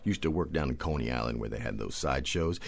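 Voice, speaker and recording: An actor playing a genial, wry drifter in a radio drama, rambling along in a hesitant storytelling manner. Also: male voice, very deep voice, animated, quick pace, vocal fry, full character voice. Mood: musing.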